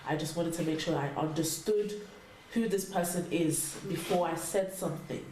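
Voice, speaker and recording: Mellow-voiced woman in an echoey room. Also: on the low side, normal speed. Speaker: panel guest. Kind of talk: casual panel discussion. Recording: echoey room, faint noise.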